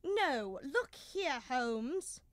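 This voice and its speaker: Young woman as protesting detective's companion, slow delivery, dry sound, indignant gentleman, old radio drama. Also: high voice, sing-song, no room sound, exaggerated voice. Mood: doubtful. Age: young adult. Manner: hesitant, protesting. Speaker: student actor. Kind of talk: 1930s-style radio drama acting.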